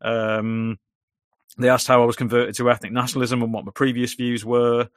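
A man's voice: mid-range voice, little pitch movement, moderate pace, clear, resonant tone, dry recording, clean studio sound. A British man with a droning voice, chatting casually as a guest and rambling with many restarts. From Britain. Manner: rambling, repeats words when restarting. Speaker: YouTuber guest. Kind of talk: casual chat.

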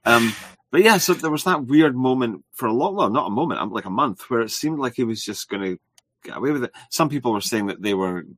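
A man hosting a casual podcast discussion, relaxed and hesitant, often pausing on filler sounds. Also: mid-range voice, dry recording. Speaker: podcast host.

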